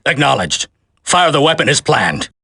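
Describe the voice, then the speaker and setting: Forceful, solemn villain colonel. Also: male voice, mid-range voice, sing-song, moderate pace, vocal fry, breathy edge, dry recording, clean studio sound, full character voice. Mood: commanding. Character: grim, principled male terrorist colonel, resolute and vengeful. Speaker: voice actor in a video game. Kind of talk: video game cutscene acting.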